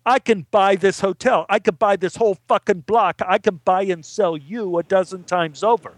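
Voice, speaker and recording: A man's voice: fairly low pitch, animated, moderate pace, no room sound, lightly acted. A man voicing a boastful, menacing, thin-skinned gambler in a bar story, blunt and needling. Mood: indignant boasting.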